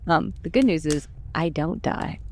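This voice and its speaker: A woman's voice: low-pitched, expressive, moderate pace, a little hiss or hum. A woman who voice-acts for a podcast, chatting casually and informally. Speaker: podcast voice actor. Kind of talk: casual chat.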